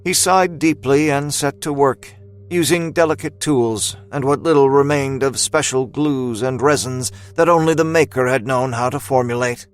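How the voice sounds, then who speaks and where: A man narrating a scripted dramatic story with deliberate, literary phrasing. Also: medium pitch, smooth.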